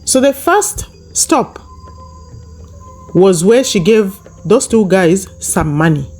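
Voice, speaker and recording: A woman for whom English is a second language delivers a YouTube commentary monologue. Her voice sits warm and mellow, with soft music in the background. She drives her points home by repeating them. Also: medium pitch, animated, takes it slowly. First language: not English. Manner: emphatic, repetitive, speaks straight to viewers.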